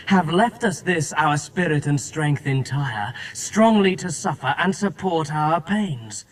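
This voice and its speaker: An actor playing an anguished fallen angel, declaiming formal verse in a classical radio drama. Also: male voice, fairly high pitch. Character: Beelzebub, anguished fallen angel, proud yet despairing lieutenant.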